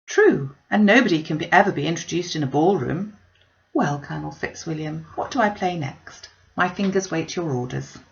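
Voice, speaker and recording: A spirited young gentlewoman from a storybook, voiced by a woman talks with arch wit. She is playful and mock-serious, teasing as she goes, with a creaky edge to the voice and a slightly muffled recording. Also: deep voice, expressive, moderate pace. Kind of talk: storybook reading.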